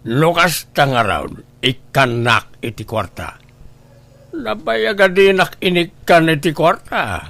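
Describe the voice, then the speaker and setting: A man's voice: on the high side, expressive, slow, gravelly, bright, forceful tone, no echo, heavily acted. A man playing a greedy, nagging father in a radio drama, with an insistent, demanding way of talking. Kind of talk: Ilocano radio drama acting.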